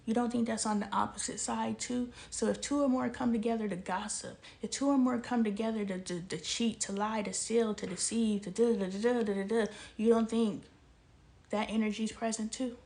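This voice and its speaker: A woman's voice: fairly high pitch, steady tone, a little fast, vocal fry, a little mellow. A woman from El Paso, Texas, giving a casual spiritual commentary monologue. She talks passionately, asks rhetorical questions, and sometimes drops to a near-whisper. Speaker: vlogger.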